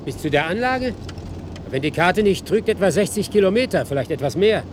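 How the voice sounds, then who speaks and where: An actor playing an inquisitive, determined investigator in a radio play, speaking articulately. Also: male voice, high voice, animated, brisk, noisy background. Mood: alarmed. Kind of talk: German radio play acting.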